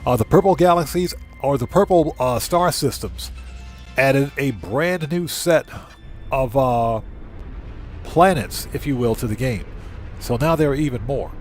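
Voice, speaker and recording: A man's voice: mid-range voice, lively intonation, measured pace. Man casually commentating a game, music behind. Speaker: livestream host and gamer. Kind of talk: casual live game commentary, chatting with viewers.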